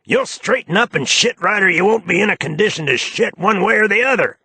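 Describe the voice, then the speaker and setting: Guy putting on a squealy camp old hustler, way up high, dry close sound. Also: big pitch swings, normal speed, gravelly, pushed and bright, breathy edge, dry recording, full character voice. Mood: shrill camp. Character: flamboyant aging male con man, shrill.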